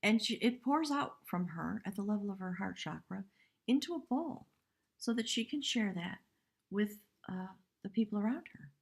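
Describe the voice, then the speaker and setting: A woman with a hoarse voice giving a live spiritual tarot reading in measured, reverent short phrases. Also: medium pitch, expressive. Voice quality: husky, breathy. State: hoarse from much talking. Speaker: tarot reader, live stream host.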